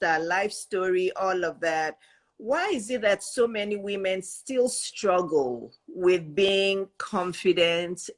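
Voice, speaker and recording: A woman in her fifties hosting an interview as a life coach, asking questions in an emphatic, encouraging way. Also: on the low side. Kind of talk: conversational interview.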